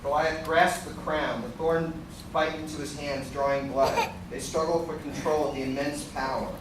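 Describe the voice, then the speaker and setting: A man's voice: on the high side, flat delivery, moderate pace. A man reading scripted dramatic narration as the narrator of a staged play. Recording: echoey hall, distant mic, noisy.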